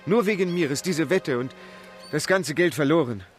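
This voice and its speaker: A man's voice: fairly high pitch, expressive, moderate pace, faint music behind, natural voice. An actor playing a distraught, guilt-ridden male manservant in a radio play.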